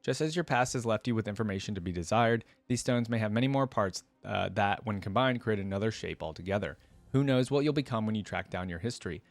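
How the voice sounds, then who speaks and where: Storybook trickster, a smooth, scheming fortune-teller woman, voiced by a low-pitched man, recorded dry. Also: quick pace, no room sound, natural voice. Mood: knowing, mysterious. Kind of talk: story read aloud.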